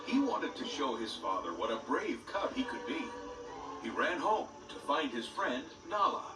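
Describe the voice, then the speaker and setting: Guy reading a kids storybook, animated and bouncy, kinda far from the mic. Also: medium pitch, normal speed. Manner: lively, expressive reading. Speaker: storybook narrator. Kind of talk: read-along storybook narration. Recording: roomy distant audio with loud music. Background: loud orchestral music.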